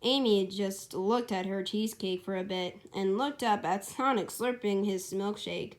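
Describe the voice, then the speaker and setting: A woman's voice: medium pitch, a little slow. A woman narrating her amateur story as writer and storyteller, informal and self-correcting, with casual asides.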